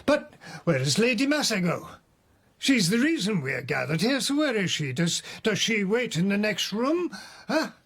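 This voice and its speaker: An actor playing a deluded imprisoned nobleman of old Japan in a radio drama, theatrical and bitterly wistful, with drawn-out phrases and hushed whispers. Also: fairly high pitch, slightly rough, exaggerated voice. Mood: searching, unsettled.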